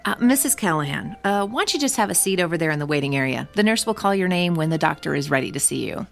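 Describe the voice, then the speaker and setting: An actress playing a polite, brisk woman receptionist at a medical clinic. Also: low voice, lively intonation, creaky, soft background music. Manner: brisk phone politeness, hushed gossip.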